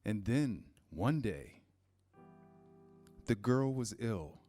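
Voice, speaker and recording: A man narrating a scripted children's story in measured, pausing phrases. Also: lowish voice, expressive, warm tone. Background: music, guitar.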